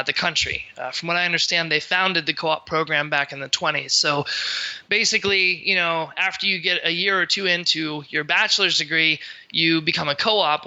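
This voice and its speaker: A man's voice: on the high side, sharp tone, poor audio. A young man from Pennsylvania, a podcast guest, talking through his story in a chatty, digressive way with plenty of ums and uhs. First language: English. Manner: chatty, many fillers, digressive explanations. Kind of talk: casual storytelling interview.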